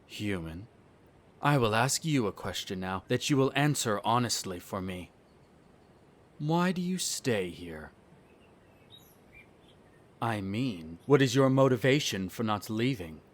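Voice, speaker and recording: A man acting a haughty, bitter centuries-old vampire noble in an audio roleplay, speaking in a formal, measured, condescending way. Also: mid-range voice, close to an everyday voice. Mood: cold, probing. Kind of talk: scripted roleplay audio drama monologue.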